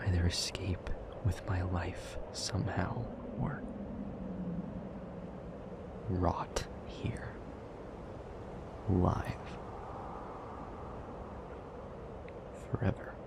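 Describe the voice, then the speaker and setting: A man playing a weary, brooding but protective demon in an intimate audio roleplay, speaking in a husky half-whisper with halting, formal phrasing. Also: low voice, little pitch movement, exaggerated voice. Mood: grim, haunted. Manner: slow, halting, formal phrasing with heavy pauses. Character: weary, brooding male demon, gravely guarded yet protective.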